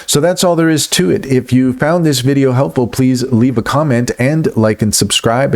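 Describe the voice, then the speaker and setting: A man's voice: medium pitch, darkish tone. A man hosting a casual tutorial-style review, partly reading aloud from notes as he narrates. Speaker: video host and software reviewer.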